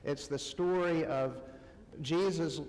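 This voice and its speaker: A man preaching in a conversational style, with a halting delivery that pauses often between short phrases. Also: a little high, darkish tone. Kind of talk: conversational sermon. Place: church sanctuary, amplified to a congregation. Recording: long hall reverb.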